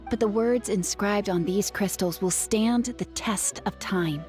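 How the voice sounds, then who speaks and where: A woman's voice: medium pitch, a little slow, darkish tone, faint music behind, natural voice. A woman playing an upbeat, grateful young woman with a salesy flair in a video game. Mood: persuasive.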